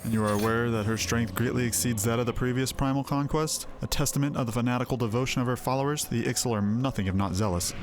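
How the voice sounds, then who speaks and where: A man's voice: medium pitch, fast, hardly any pauses, background noise, close to an everyday voice. An actor playing a haughty, calculating man in a fantasy video game, speaking in formal, theatrical, archaic phrasing. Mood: coolly intrigued. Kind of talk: scripted video game dialogue.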